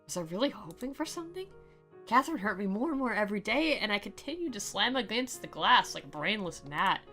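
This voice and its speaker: A woman plays a bitter teenage schoolboy in a visual novel. The delivery is exaggerated and melodramatic, sharp and forceful, full of self-pity. Faint music plays behind. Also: mid-range voice, very animated, brisk, pitched above the performer's own voice. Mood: melodramatic, hurt. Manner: dramatic, exaggerated.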